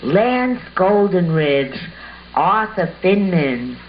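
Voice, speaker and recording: A woman narrates a scripted puppet show at a very slow, deliberate pace. The recording is slightly muffled, with faint noise underneath. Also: lowish voice, very animated.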